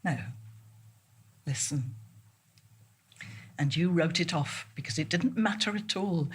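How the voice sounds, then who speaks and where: An actress playing an unsettled, obsessive woman in a theatrical monologue, halting, breaking off and repeating herself. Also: medium pitch, a bit bright, slightly rough, heavily acted. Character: unsettled woman convinced reality keeps shifting, halting and obsessive.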